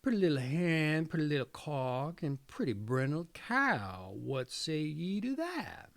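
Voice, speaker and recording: An old gray-haired storybook man, acted out by a male reader, coaxing in singsong rhyme. The voice is lifted and swings up and down, with a creaky edge in a close, dry sound. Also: on the high side, sing-song, measured pace, dry recording, exaggerated voice.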